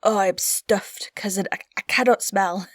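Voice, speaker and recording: A woman's voice: mid-range voice, steady tone, unhurried, fairly bright tone, no echo, studio quality. Woman playing a groaning, stuffed-up young noblewoman. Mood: miserable, testy. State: miserable, stuffed up, breathy sighs. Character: sharp-witted young noblewoman, poorly and groaning with a cold. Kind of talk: Shakespeare radio play.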